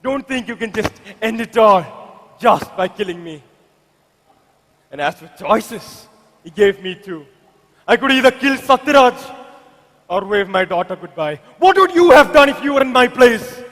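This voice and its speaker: A man plays a compromised police officer backed into a corner. The voice is very high and defiant, delivered as stage drama. Long reverb from a hall trails every phrase. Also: lively intonation, a little slow, long pauses, a bit bright, exaggerated voice. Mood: anguished, pleading. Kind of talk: amateur stage drama dialogue.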